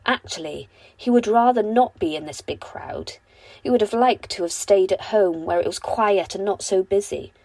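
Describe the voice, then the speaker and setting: A woman narrating a children's bedtime story in an expressive, deliberate storytelling manner. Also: medium pitch, no echo. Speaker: storybook reader.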